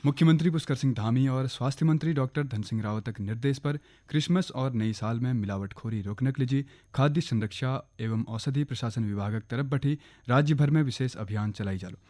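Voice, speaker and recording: Mellow Kumaoni man reading radio news. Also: medium pitch, brisk, hardly any pauses. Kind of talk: scripted regional news bulletin.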